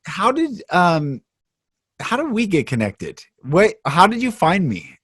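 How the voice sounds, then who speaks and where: A man's voice: sits high, huge pitch swings, takes it slowly, fairly bright tone. A man hosting a podcast, listening closely and encouraging his guest with short affirmations. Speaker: podcast host. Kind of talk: casual interview conversation.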